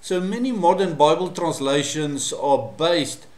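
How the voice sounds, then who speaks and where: A man's voice: on the high side, expressive, darkish tone. Afrikaans-man teaching in an echoey room. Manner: measured and explanatory, with frequent fillers. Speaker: Bible study presenter. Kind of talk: solo teaching monologue to camera.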